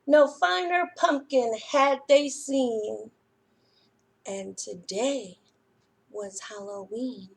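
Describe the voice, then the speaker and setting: A woman storyteller reading aloud to young children in a deliberate, sing-song way. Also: on the high side, vocal fry.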